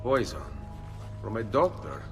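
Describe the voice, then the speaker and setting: Determined young Italian assassin. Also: male voice, lowish voice, big pitch swings, measured pace, over music. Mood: courteous. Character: determined young Florentine assassin, polite and intense. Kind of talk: video game cutscene acting.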